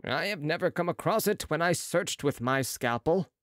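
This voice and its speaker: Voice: mid-range voice, lively intonation, normal speed, no room sound, clean studio sound, voice pushed up, lightly acted. A reader putting on a proud, boastful young male surgeon. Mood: lofty. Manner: boastful, lofty, sharp retorts. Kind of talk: audiobook character reading.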